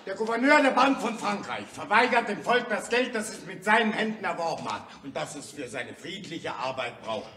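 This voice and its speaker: A man's voice: high voice, very animated, normal speed, hardly any pauses, background noise. An actor playing a fervent, principled male revolutionary orator in a radio play, emphatic and rhetorical. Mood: accusing. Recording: echoey hall, distant radio play recording.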